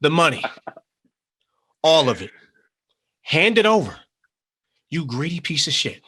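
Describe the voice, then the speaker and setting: A man's voice: on the high side, big pitch swings, slow pace, sharp tone, husky, exaggerated voice. A man acting a hot-tempered, desperate man in a crime drama table read, intense and foul-mouthed. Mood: threatening.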